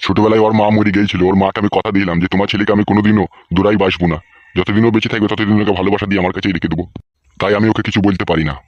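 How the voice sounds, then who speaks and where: A man's voice: fairly low pitch, fairly even intonation, racing, no echo, lo-fi sound, lightly acted. A man voicing a weary, indulgent old village father keeping the peace in a comic cartoon. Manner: peacekeeping, exasperated scolding.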